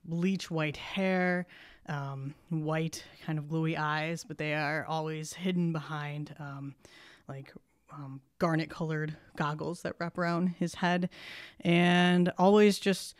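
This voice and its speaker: Casting: female-sounding tabletop player, smooth and slow, casual, deliberate delivery. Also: low-pitched, fairly even intonation, dry recording, clean studio sound. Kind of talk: casual character introduction.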